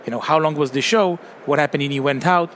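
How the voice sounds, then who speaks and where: Guest CEO, male, forties, Israeli accent, relaxed and filler-heavy; light trade-show noise behind. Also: fairly high pitch, quick pace. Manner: casual storytelling, many fillers like "kinda" and "um". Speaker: retail company CEO, guest. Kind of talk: conversational interview. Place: busy trade show floor, open-roof podcast booth. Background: crowd chatter and noise.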